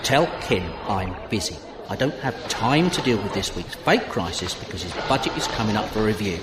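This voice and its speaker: A man's voice: lowish voice, expressive, moderate pace, reverberant, heavy background noise, lightly acted. A man acting a harried, brusque factory director in an audio drama, curt and bossy. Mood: impatient, brusque.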